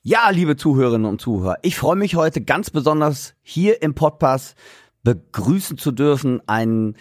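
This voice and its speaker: A man's voice: mid-range voice, big pitch swings, normal speed, dry recording, studio quality. A man hosting a casual podcast interview, chatty and loose, with many fillers and restarts. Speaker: podcast host and drummer.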